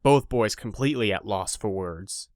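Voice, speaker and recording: A man's voice: fairly low pitch, moderate pace, a little mellow, no room sound. A man reading scripted story narration as the narrator of an audio drama.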